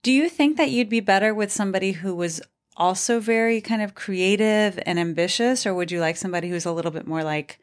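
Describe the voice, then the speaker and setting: A woman's voice: medium pitch, brisk. A woman in her forties giving frank relationship advice in a casual podcast conversation. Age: 40s. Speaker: relationship expert guest.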